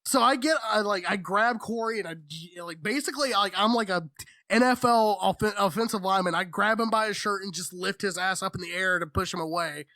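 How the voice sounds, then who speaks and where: A man in his thirties co-hosting a casual podcast, telling stories with lots of swearing. His delivery is animated and chatty, and the sound is close and dry. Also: high voice, brisk, no room sound. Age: 30s. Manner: profane, animated storyteller. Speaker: podcast co-host. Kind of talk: casual storytelling chat.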